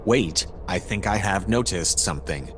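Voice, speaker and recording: Sci-fi audio drama AI assistant played by a man: low, calm, precise, formal narration over noticeable background ambience. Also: moderate pace, a little hiss or hum. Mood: calm, analytical. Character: calm analytical AI narrator assistant aboard a spaceship.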